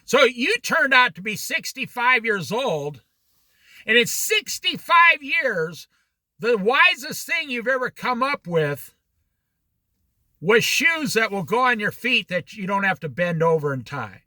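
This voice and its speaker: A man's voice: extremely high pitch, big pitch swings, unhurried, pushed and bright, dry recording. A man leading an informal Bible study, throwing out challenging rhetorical questions and jokey asides.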